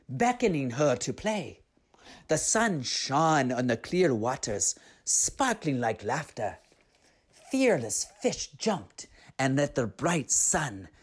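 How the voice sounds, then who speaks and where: A woman reading a story aloud as an expressive narrator. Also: very deep voice, husky.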